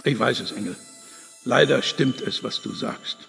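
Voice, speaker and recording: An actor playing an injured, kindly old Santa Claus in a children's radio play, plaintive and groaning in manner. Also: male voice, mid-range voice, moderate pace, gravelly, a bit bright, in a large hall, soft background music. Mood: subdued, thoughtful.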